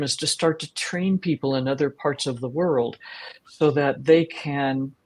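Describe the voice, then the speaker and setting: Woman vet host; slow, measured, sincere delivery. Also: deep voice. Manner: slow, earnest, halting. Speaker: veterinarian and webinar host. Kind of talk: webinar conversation.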